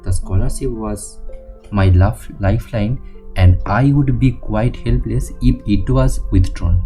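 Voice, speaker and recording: A man reading an autobiography aloud, halting, with frequent slips and restarts. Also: lowish voice, dark tone, faint music behind. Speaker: audiobook reader.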